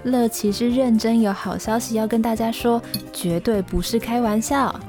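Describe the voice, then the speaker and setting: A woman's voice: on the high side, fairly even intonation, moderate pace, over music, close to an everyday voice. A young woman acting a responsible, caring language tutor in an audio drama, instructive and reassuring. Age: young adult. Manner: instructive, reassuring, gently strict.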